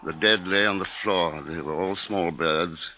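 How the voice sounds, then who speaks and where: An actor playing a shaken but dogged husband and father, narrating gravely and deliberately in a 1950s radio drama. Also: deep voice, bright, forceful tone. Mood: somber, slow. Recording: old 1950s radio broadcast recording. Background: dramatic music.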